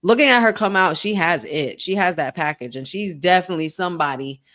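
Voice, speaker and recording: A woman co-hosting a live wrestling watch-along, chatting casually and laughing often. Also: fairly low pitch. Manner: animated, chatty, quick to laugh.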